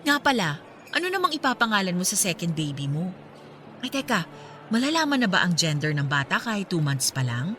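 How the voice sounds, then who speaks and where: A woman acting in a Filipino radio drama as a devout, hopeful friend, earnest and expressive. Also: fairly low pitch, a little fast, slight background noise. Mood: playful, teasing.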